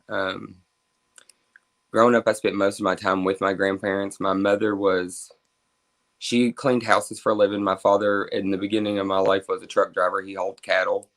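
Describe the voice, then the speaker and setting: A man's voice: low voice, fairly bright tone. A man in his twenties with a Kentucky accent, a guest talking openly about his life in a casual interview. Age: 20s. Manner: open and talkative, with frequent fillers.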